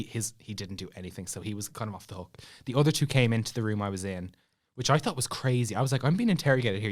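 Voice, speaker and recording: A young man with an Irish accent telling a personal story in casual chat, acting out bits of dialogue as he goes. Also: medium pitch. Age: young adult. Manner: animated retelling with acted-out dialogue and laughing asides. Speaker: podcast guest telling a story.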